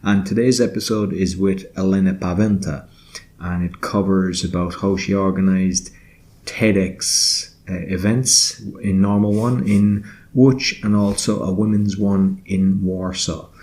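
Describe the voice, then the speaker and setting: Low-voiced man announcing a podcast. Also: fairly even intonation, a little slow, darkish tone. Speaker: podcast host. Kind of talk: scripted episode intro.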